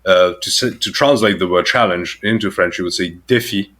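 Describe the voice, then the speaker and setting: Really deep-voiced guy, casual podcast chat, explains stuff, lots of ums and likes. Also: a little fast, a bit bright. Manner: explanatory, lots of filler words. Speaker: podcast guest. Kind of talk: casual conversation.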